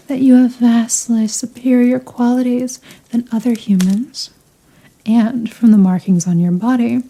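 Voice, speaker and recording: A woman acts out an elder vampire woman for an ASMR roleplay, haughty and possessive. Her voice is very dark and mellow, a little high for a woman, and soft enough to slip toward a whisper. She draws her phrases out slowly, teasing and condescending. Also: low-quality recording. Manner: slow, condescending, seductive, drawn-out phrasing. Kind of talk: ASMR roleplay, scripted character acting.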